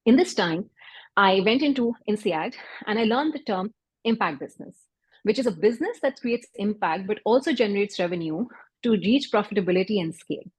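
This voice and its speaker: Woman, Pakistani accent, Urdu native speaker, doctor and founder, earnest measured talk, husky voice, mid pitch. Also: expressive, no echo, rough recording. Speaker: doctor and health startup founder. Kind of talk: event talk.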